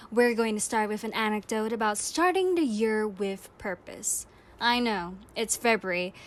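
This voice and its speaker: Casting: woman in her twenties, higher-pitched voice, upbeat scripted delivery as a radio-style podcast host. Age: 20s. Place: indoors, air-conditioned room in Malaysia.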